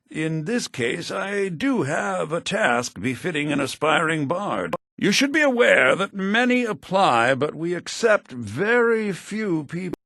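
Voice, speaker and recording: An actor playing an earnest, theatrical head bard in a fantasy video game, speaking formally with a slightly raspy voice. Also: male voice, mid-range voice, fairly bright tone, no room sound, clean studio sound, heavily acted. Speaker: game character, bard college leader.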